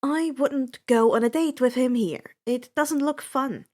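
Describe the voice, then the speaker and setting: A woman's voice: sits high, measured pace, a little mellow. A woman voicing a sarcastic, irreverent young boy hero in a story, with a cheeky, casual, incredulous manner. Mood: dismissive.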